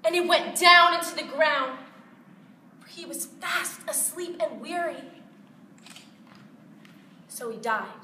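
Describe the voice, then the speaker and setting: A woman's voice: high voice, takes it slowly, long pauses. Theatrical American woman reciting, roomy distant recording. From United States. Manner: theatrical, emphatic, storytelling delivery. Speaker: competition contestant reciting scripture. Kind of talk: dramatized recitation of Bible narrative. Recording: roomy, distant mic, faint noise.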